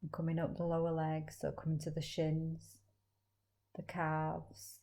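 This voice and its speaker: A woman leading a guided meditation as a teacher, speaking slowly and gently with long, soothing pauses. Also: sits low, completely flat delivery.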